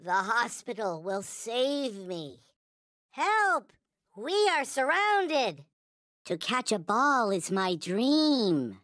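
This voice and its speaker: A man voicing a small, squeaky, childlike toy alien in a video game, earnest and worshipful, with stilted, formal phrasing. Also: very animated, extremely slow, pushed and bright, dry recording, clean studio sound, heavily acted. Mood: alarmed, then earnest, solemn. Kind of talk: video game character voice lines.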